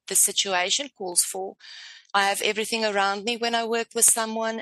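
A woman's voice: mid-range voice, a bit bright, no room sound, poor audio. A woman from South Africa with a South African accent, a native Afrikaans speaker, talking effusively as a guest on an interview podcast. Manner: effusive, enthusiastic, searching for words. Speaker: spiritual life coach guest.

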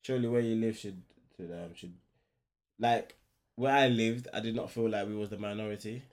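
A man's voice: on the low side, moderate pace, darkish tone. A man who grew up in Ghana, reflecting steadily on his past during a casual group discussion.

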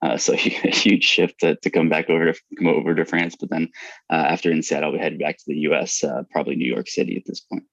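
An American man in his thirties, a student guest answering interview questions casually, with halting restarts and fillers. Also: a bass voice, flat delivery, vocal fry, a bit bright, no echo. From United States. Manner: halting, many restarts and fillers, laughs lightly. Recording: dull lo-fi dry sound.

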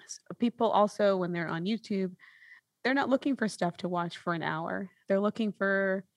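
A woman's voice: mid-range voice, normal speed, dry recording, studio quality. A woman hosting a podcast in a casual, reflective conversational style, often checking in with a questioning tag as she explains. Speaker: podcast host.

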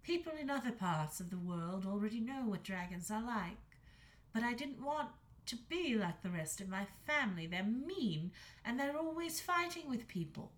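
A woman voicing a meek, sad young male dragon who stammers softly. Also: a little high, big pitch swings, moderate pace.

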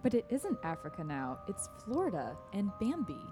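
A woman playing an anxious, gentle housewife and mother in a radio play, fretful in manner. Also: medium pitch, wildly swooping pitch, moderate pace. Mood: uneasy. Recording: long reverb, music behind.

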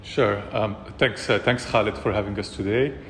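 Low-voiced man from Morocco, reverberant room. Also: fairly even intonation, a little hiss or hum. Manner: measured, reflective, many fillers. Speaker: startup co-founder, guest. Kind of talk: casual interview.

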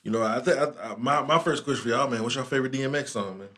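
A man hosting a casual podcast chat, talking in loose slang with a relaxed drawl. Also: medium pitch, quick pace. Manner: laid-back, drawling slang, frequent laughs. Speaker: podcast host.

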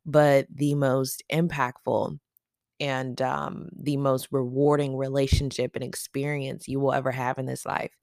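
A podcast host with a raspy morning voice, chatting casually and affectionately to listeners about faith. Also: medium pitch, little pitch movement, measured pace, a little mellow, no echo, clean studio sound.

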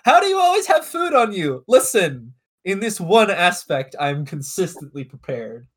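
Young woman dm chatting, rambling n swearing, pitch jumps all over. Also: big pitch swings, normal speed, breathy edge. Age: young adult. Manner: rambling, swears, laughs often. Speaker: dungeon master. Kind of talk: casual podcast chat.

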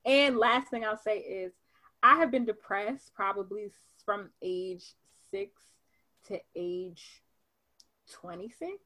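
A woman in her twenties, a podcast guest, telling her story in a lively, animated way, peppered with "like". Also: lowish voice, gravelly. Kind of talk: casual chat.

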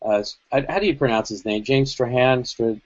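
A man's voice: medium pitch, a little fast. A man co-hosting a casual tech podcast, talking in long rambling thoughts full of asides over a video call. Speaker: podcast co-host. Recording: dull lo-fi video call audio.

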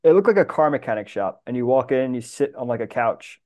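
Guy guesting on a podcast, average pitch, just chatting casually. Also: animated, a little fast, darkish tone. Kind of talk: casual chat.

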